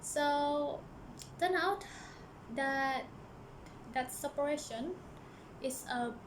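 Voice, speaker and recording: A woman's voice: high voice, extremely slow, noisy background. A young woman with a Malaysian accent telling a personal story to the camera as a book vlogger, earnest and hesitant, often restarting her sentences. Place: church office.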